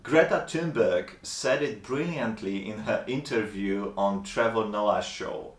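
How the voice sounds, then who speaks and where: Guy reviewing a book, slow and kinda formal, roomy echo. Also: lowish voice, a little hiss or hum. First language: Polish. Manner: measured, thoughtful, slightly formal. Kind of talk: reflective book review monologue.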